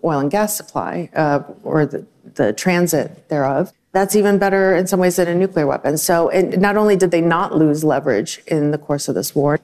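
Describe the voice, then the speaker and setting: A woman journalist from Russia talks on a panel in a slightly low voice. She is brisk and wry, and she lines her arguments up one after another. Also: darkish tone. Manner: brisk, wry, lays out points in sequence. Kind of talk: panel discussion commentary.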